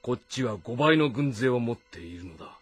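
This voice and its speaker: A commanding, calculating male warlord, from a historical game drama. He speaks deliberately and with brooding authority at a middling pitch, with faint music behind him. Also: lively intonation, breathy edge. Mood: calculating, resolved.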